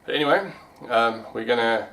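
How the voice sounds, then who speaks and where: A man vlogging in a casual, informal way, pausing as he finds his words. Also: medium pitch, expressive, gravelly, a bit bright, rough recording. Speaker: vlogger and amateur pilot. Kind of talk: casual vlog talk.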